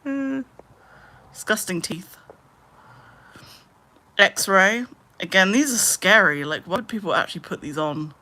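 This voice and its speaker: A woman in her late twenties chatting casually on a live stream, rambling with lots of "like" and trailing into low mutters. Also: a little slow, pauses often, bright, forceful tone. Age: 20s. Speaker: livestreamer.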